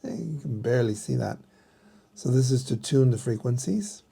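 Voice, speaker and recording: A middle-aged man giving a casual hands-on explanation in a halting manner, as if thinking aloud. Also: mid-range voice, big pitch swings, creaky, mellow, breathy edge. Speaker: vlogger showing a vintage radio.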